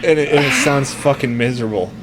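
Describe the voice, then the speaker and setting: A man's voice: mid-range voice, quick pace, background noise. A man of about forty, co-hosting a casual live show, joking and laughing often into a microphone. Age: 40s. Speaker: co-host. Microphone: studio microphone.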